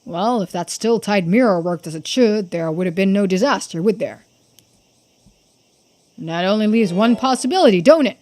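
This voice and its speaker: A woman voicing a brash, suspicious, defensive islander in a video game, blunt and challenging with rough colloquial speech. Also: medium pitch, expressive, moderate pace, a little mellow, lightly acted. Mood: accusing.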